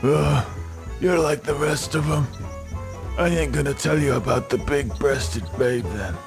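A man's voice: a little high, a little slow, creaky, music in the background. A man playing a crude, whining bee king reduced to begging, in a comic video game. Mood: bitter. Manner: crude, complaining, wheedling. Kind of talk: video game cutscene acting.

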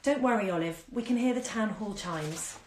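Nervous young friend, hushed and timid, voiced by a woman reader. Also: mid-range voice, moderate pace, reverberant, a little hiss or hum, lightly acted.

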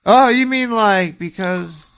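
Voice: slow, fairly bright tone. A performer voicing a paranoid cartoon rat who hosts a radio show. The rat has a stuffy nose and rambles and stammers. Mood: rambling. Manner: rambling, stammering, swings wildly in pitch. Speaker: host taking calls and playing music. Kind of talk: comedic radio show chatter.

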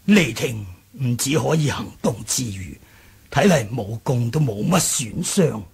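A man playing an aged, kindly martial arts grandmaster in a radio drama, with a Hong Kong Cantonese accent, a gravelly voice and a sighing delivery. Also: mid-range voice, expressive, a little mellow, exaggerated voice. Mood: relieved, wistful. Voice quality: husky, gravelly old voice. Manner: slow, sighing.

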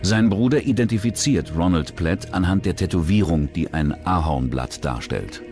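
Tiefer, lebhaft betonender Erzähler. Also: male voice, deep voice, lively intonation, normal speed, darkish tone, soft background music. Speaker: documentary narrator. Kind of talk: scripted true-crime narration.

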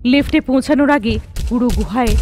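A woman's voice: fairly high pitch, fast, a little mellow, slight background noise. A woman reading scripted horror story narration as the storyteller. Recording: music behind the voice.

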